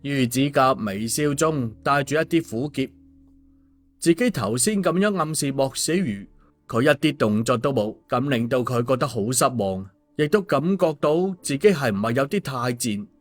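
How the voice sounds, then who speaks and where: A man's voice: mid-range voice, expressive, moderate pace. A man narrating a scripted story, as an audiobook reader. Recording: music under the voice. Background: background music.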